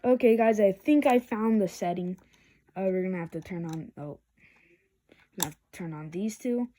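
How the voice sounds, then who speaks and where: Casual vlog reviewer, soft mellow tone, informal and rambling, slightly hesitant delivery. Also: mid-range voice, moderate pace, a little hiss or hum. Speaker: video reviewer.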